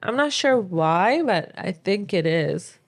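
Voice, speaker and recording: A woman's voice: medium pitch, lively intonation, takes it slowly. A woman co-hosting a podcast in casual chatty talk, opinionated and emphatic as she gives advice. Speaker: podcast co-host.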